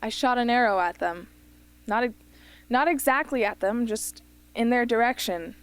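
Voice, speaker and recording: A woman's voice: fairly high pitch, moderate pace, clear, resonant tone, close to an everyday voice. A woman playing a guarded, blunt teenage girl in a radio drama, earnest and understated. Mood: defensive. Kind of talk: hobby radio drama acting.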